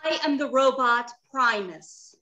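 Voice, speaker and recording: Radio-play robot lover, earnest and defiant, played by a woman: high, forceful, measured. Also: highs cut off. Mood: firm earnest. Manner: earnest, declamatory. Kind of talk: 1930s radio drama acting. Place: indoors on a video call.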